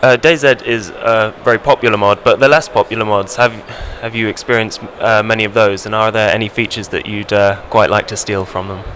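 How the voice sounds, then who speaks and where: A man's voice: on the low side, fairly even intonation, brisk, few pauses, fairly bright tone, a little hiss or hum. A man working as an interviewer, putting questions in a hesitant way.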